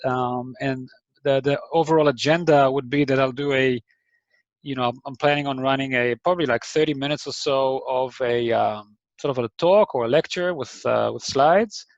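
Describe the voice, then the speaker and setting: A man's voice: mid-range voice, moderate pace, no room sound, highs cut off. A man with an Israeli accent tinged by New Zealand, giving a hesitant, friendly online talk to startups, full of ums and restarts. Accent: Israeli English with some New Zealand influence. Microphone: video call mic.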